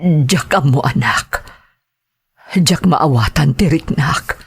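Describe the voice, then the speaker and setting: An anguished wife in an Ilocano radio drama, played by an actress. She speaks with an Ilocano accent at a very slow pace, drawing out her pleas with heavy emotion as she longs for her missing husband. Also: low voice, slightly rough, exaggerated voice. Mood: aching, relieved.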